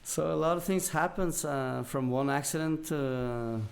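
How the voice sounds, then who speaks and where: A man's voice: on the high side, normal speed, vocal fry. Casual Norwegian man telling stories. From Norway. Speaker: podcast guest. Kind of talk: casual storytelling.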